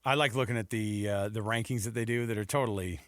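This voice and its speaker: A man's voice: fairly low pitch, fairly even intonation, fast, a bit bright, no room sound, clean studio sound. An American man co-hosting a sports talk show, chatting informally and rambling through his points with conversational asides.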